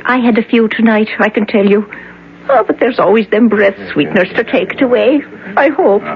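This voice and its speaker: A woman's voice: on the high side, a little fast, gravelly. An actress playing an elderly, pious, chatty Irish cleaning woman in an old radio drama, talking in a fussy, motherly, lilting way. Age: older. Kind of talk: 1970s radio drama acting. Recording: muffled old radio broadcast, faint hiss.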